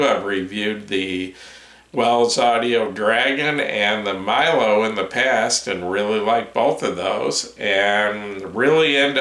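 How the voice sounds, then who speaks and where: A man hosting a casual update vlog, rambling with many fillers, his voice tired and going hoarse. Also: mid-range voice, bright, forceful tone. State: hoarse, voice giving out. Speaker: headphone reviewer, channel host. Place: home room with his desk. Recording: roomy echo.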